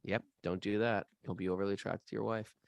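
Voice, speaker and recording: A man co-hosting a relaxed spiritual discussion, thinking aloud in a musing way with frequent restarts. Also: lowish voice, quick pace, gravelly, no echo. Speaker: podcast co-host. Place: home area in New England.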